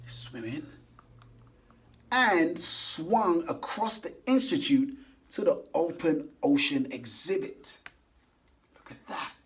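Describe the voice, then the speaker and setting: A man reading a picture book aloud to children, animated and coaxing, stopping to ask the kids questions. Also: mid-range voice. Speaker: children's story reader.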